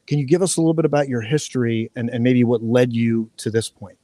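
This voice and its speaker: A man's voice: medium pitch, quick pace, warm tone, dry recording. A man hosting a live-streamed podcast interview outdoors at a resort, talking in a loose, rambling way with frequent restarts. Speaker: podcast host. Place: outdoor resort near waterfalls. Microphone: live-stream setup.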